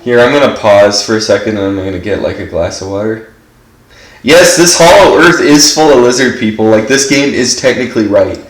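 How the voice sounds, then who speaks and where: A stuffed-up man with a fairly low voice rambles through casual commentary as he plays a game, leaning on "like" at every turn. His voice bounces around an echoey room. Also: lively intonation, brisk. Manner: rambling, fills speech with "like". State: stuffed up from allergies. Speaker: game streamer.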